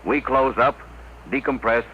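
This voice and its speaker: An actor playing an earnest, decisive rocket crew leader in a 1950s radio drama. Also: male voice, mid-range voice, unhurried, close to an everyday voice. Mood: urgent instruction. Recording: noisy, muffled old radio.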